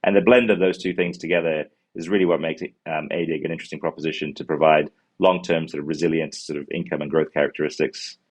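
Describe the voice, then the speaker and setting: A man in his forties from New Zealand with a Kiwi accent, a fund co-manager pitching his fund over a video call from an office, full of ums and restarts. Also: fairly even intonation, fast talker, hardly any pauses. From born in Sri Lanka, raised in New Zealand. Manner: many ums and uhs, restarts words while explaining. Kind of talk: investment pitch answering questions. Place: office with glass walls, indoors. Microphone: earbuds on a video call.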